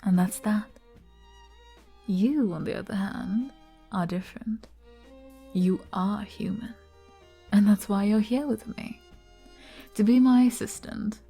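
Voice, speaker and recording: A woman playing a flirty, gentle arbiter bartender in an ASMR roleplay, soft-spoken and coy as she explains things patiently. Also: mid-range voice, takes it slowly, vocal fry, pauses often, husky, faint music behind, heavily acted.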